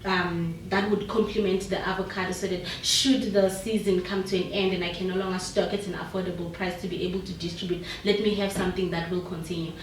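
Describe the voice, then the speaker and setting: A woman's voice: on the low side, fast, few pauses, slight background noise. A South African woman, a business founder, talking casually and confidently as an interview guest, mixing Zulu into her English. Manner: relaxed, self-assured, mixes Zulu with English. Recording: echoey room, mic far off.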